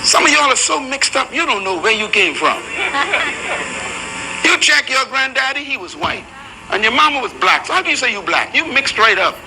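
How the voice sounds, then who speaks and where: A man's voice: very high voice, sing-song, normal speed, background noise. A man preaching a sermon to a room full of people, emphatic and rhythmic. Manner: emphatic, preaching to a live crowd. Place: large room with an audience.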